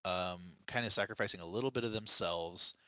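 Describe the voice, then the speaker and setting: A man with a low voice talks games on a podcast. The tone is strikingly bright and forward despite the depth, with a very dry sound. He explains things in a casual, conversational way. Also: a little fast, dry recording. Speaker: podcast co-host explaining game lore. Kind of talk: casual podcast discussion of a video game.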